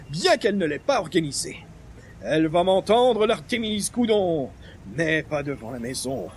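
Actor as a pompous, high-pitched, theatrical gentleman. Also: slight background noise. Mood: indignant. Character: pompous, indignant newspaper columnist with old-fashioned speech. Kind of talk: 1940s-style radio comedy acting.

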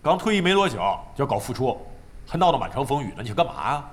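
An actor in a Chinese audio drama playing a proud, washed-up older gamer with a gruff, foul-mouthed, defensive manner. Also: male voice, medium pitch, sing-song, fast, lightly acted. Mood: blustering. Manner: blustery, cursing, defensive. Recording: background music under the dialogue.

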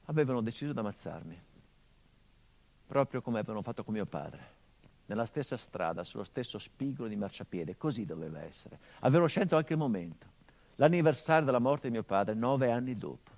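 An actor playing a hardened, grieving middle-aged son, delivering a measured theatrical monologue that drops to hushed tones. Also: male voice, mid-range voice, big pitch swings, creaky. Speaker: stage actor.

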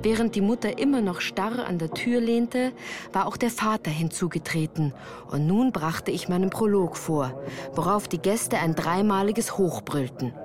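An actress playing an earnest woman recalling her girlhood in measured, literary radio-play narration. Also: medium pitch, music in the background, natural voice. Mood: earnest, reflective.

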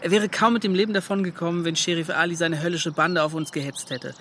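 An actor playing a brash, modest young adventurer in a radio play, telling his story in an excited, colloquial, scoffing way. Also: on the high side, quick pace, a little hiss or hum, lightly acted. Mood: admiring, lively. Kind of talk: German radio play acting. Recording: music behind the voice.

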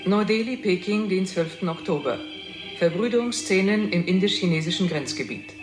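Radio play newsreader: formal dispatches, high-pitched, echoing over clear music. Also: male voice, lively intonation, moderate pace. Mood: urgent formal. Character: formal male foreign news correspondent reading dispatches. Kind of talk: 1960s radio news bulletin acting. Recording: echoey, loud music behind.

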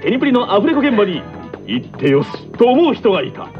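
A man hosting a radio talk show, reading out quiz questions in a lively, laughing manner. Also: high-pitched, a little fast. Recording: dull lo-fi radio recording with music behind.

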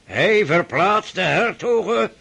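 An actor playing an irascible, domineering old Russian prince who rants and shouts in a radio play. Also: male voice, sits high, animated, slow, creaky, slightly rough, exaggerated voice. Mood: lecturing. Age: older.

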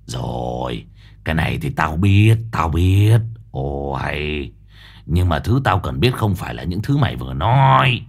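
Guy doing a deep husky growly detective, swears, impatient. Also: sing-song, a little slow, full character voice. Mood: dismissive. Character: gruff middle-aged male investigator, impatient, cursing. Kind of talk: audiobook character voice.

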